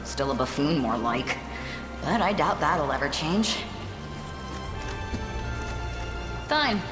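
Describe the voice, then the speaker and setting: Lowish, hard-bitten female captain, echoey. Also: big pitch swings, unhurried. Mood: grudging. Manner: blunt, sardonic, hard-bitten. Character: tough, distrustful woman captain of desert fighters, proud. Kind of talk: video game voice acting. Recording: roomy echo, music behind.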